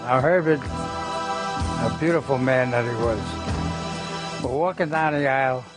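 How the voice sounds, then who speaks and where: A man's voice: mid-range voice, unhurried. An older man being interviewed, telling anecdotes in a plain-spoken, salty, conversational way. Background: guitar music.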